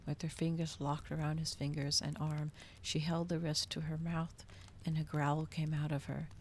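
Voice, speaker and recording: A woman streaming an ASMR storybook reading in a hushed voice that often slips into whispers. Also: low-pitched, little pitch movement, normal speed, slight background noise.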